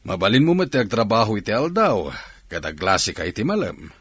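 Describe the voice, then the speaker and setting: A man's voice: lowish voice, big pitch swings, a little fast, a bit bright, slightly rough, dry recording, full character voice. An actor playing a persuasive, assertive factory recruiter in a radio drama, coaxing as he talks. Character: persuasive grown man recruiting village youths for factory work.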